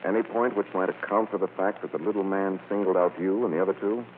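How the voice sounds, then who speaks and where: An actor playing a suave, unruffled amateur sleuth with a wry, brisk manner in an old-time radio drama. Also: male voice, sits low, steady tone, slight background noise, lightly acted. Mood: courteously probing. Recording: muffled, tinny old radio recording.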